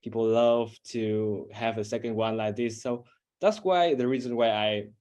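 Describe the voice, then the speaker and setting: A man introducing himself as a community group admin, relaxed and hesitant, with many fillers. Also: mid-range voice, moderate pace. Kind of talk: casual introduction.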